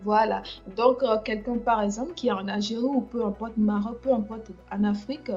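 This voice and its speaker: A woman's voice: fairly high pitch, steady tone, brisk, a little mellow, lo-fi sound, soft background music. A woman hosting a casual livestream chat, speaking hesitantly with many fillers and restarts. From France. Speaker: livestream host.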